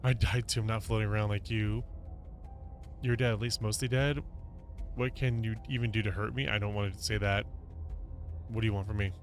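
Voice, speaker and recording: A man's voice: on the low side, steady tone, brisk, long pauses. A man giving casual let's play commentary as a game streamer, amused and reactive. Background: game music.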